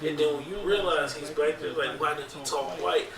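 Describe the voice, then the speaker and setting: Blunt male co-host, slightly high voice, far from the mic. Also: normal speed. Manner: blunt, profane. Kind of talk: casual group chat. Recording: roomy, mic far off, chatter.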